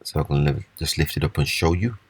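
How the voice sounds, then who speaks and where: A man with a husky voice giving a casual, unscripted, step-by-step walkthrough of hands-on repair work. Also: very deep voice, quick pace, darkish tone, no room sound. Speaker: repair demonstrator.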